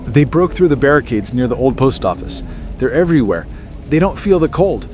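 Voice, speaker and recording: A man's voice: medium pitch, sing-song, fairly quick, darkish tone, a little hiss or hum, lightly acted. A man playing a panicked survivor radioing in from a zombie outbreak in a radio drama, frantic and urgent. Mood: panicked, desperate.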